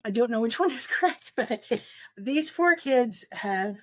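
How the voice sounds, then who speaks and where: Woman podcast co-host, forties, casual book talk, halting and reflective delivery, close dry recording. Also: on the high side, animated, fairly bright tone, no echo.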